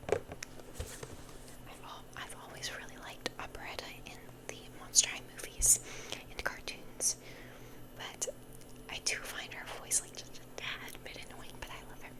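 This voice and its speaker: A young woman whispering in a soft, breathy voice, rambling and repeating phrases as in an ASMR unboxing. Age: young adult. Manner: soft, repeats phrases slowly, chatty over-explaining. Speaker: ASMR content creator.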